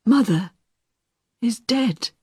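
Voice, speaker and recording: A brooding, guilt-ridden grown son read by a woman storyteller speaks low and restrained. His lines come slowly and heavily. The sound is dry and close. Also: animated, darkish tone, husky, dry recording, full character voice. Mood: stunned.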